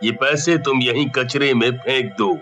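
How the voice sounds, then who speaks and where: A man voicing a grave, authoritative god of death in a cartoon story, speaking in a measured, commanding manner. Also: medium pitch, fairly bright tone, highs cut off, soft background music, natural voice. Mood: stern rebuke. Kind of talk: Hindi cartoon story dialogue.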